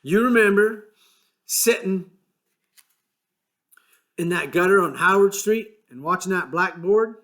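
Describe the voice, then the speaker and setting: A man voicing a small, irritable ranch hand who talks gruffly, swears and sounds fed up. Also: high voice, animated, slow, long pauses, fairly bright tone, pitched above the performer's own voice, full character voice. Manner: gruff, exasperated, swearing, rough rural speech.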